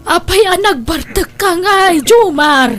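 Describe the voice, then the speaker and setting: A woman's voice: very high voice, lively intonation, unhurried, over music. A woman playing a worried, scolding elderly grandmother in a radio drama, with a Filipino accent and a nagging manner. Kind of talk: Ilocano radio drama acting.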